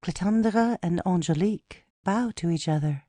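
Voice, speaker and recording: A woman's voice: on the low side, moderate pace, dark tone, no echo. A woman narrator reading out stage directions as scripted narration for an audio play.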